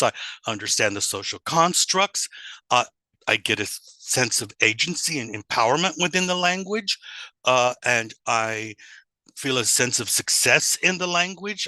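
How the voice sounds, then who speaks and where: Man, very sharp bright tone, mid-pitched, informal lecturer, deliberate explanations, rhetorical questions. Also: no room sound, low-quality recording. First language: English, also Spanish and German. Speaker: teacher trainer presenting.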